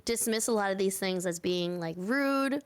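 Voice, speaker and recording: Young woman streamer, easygoing reflective chat, soft music faintly behind. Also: medium pitch, lively intonation, normal speed, clean tone. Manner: thoughtful, supportive, many fillers. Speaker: streamer guest. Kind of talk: casual chat.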